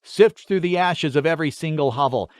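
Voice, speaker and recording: A man's voice: on the high side, a little fast, smooth, dry recording, studio quality, voice pushed up, natural voice. A man voicing a cruel, commanding warlord. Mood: cold command. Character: cruel gloating warlord swordsman, commanding, enjoys slaughter.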